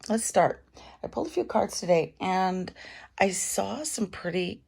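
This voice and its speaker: A middle-aged woman with a cold and a raspy voice, chatting casually as she gives a tarot reading at home. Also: mid-range voice, lively intonation, a little slow. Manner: chatty, warm advice with fillers. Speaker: tarot reader.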